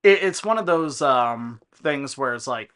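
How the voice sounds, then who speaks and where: A man giving casual, digressive commentary on a video game, explaining things in a halting way. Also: mid-range voice, expressive, a bit bright. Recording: faint music in the background.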